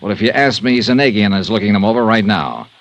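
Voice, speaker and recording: An actor playing a hard-boiled insurance investigator with a clipped, pressing way of talking, in a 1950s radio drama. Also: male voice, on the low side, fast, fairly bright tone. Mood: urgent. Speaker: star of the show. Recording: old radio broadcast recording.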